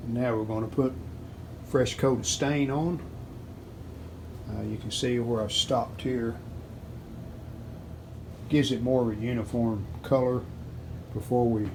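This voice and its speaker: A man casually narrating a hands-on how-to as he works, plain-spoken, unhurried and modest. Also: mid-range voice. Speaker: restoration hobbyist and video host. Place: home basement workshop. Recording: steady background noise, handling rustle.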